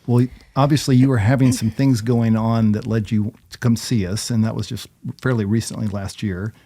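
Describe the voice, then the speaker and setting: A woman's voice: a bass voice, fairly even intonation, normal speed, mellow. A woman hosting an interview, asking questions and giving short affirmations. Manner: brief prompting replies and affirmations. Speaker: host.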